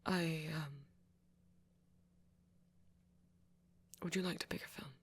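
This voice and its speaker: A man playing a shy, apologetic young vampire in an ASMR roleplay, with a posh British accent and a hesitant, stammering, soft-spoken way of talking. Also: fairly high pitch, fairly even intonation, fast, slightly rough. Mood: apologetic, nervous. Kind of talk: intimate ASMR roleplay audio.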